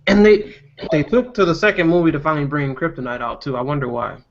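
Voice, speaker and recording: A man's voice: on the high side, animated, fast talker. A man in a casual fan discussion, giving opinions in slangy talk and repeating himself for emphasis. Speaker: panelist in a film discussion. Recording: muffled recording amid background chatter.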